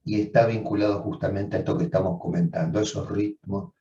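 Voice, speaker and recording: A man's voice: on the low side, little pitch movement, mellow, a little hiss or hum. Man lecturing in a distant, echoey room. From Argentina. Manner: measured, reflective, with frequent rephrasing. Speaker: psychoanalyst lecturer. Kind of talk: seminar lecture. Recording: dull, roomy sound from far off.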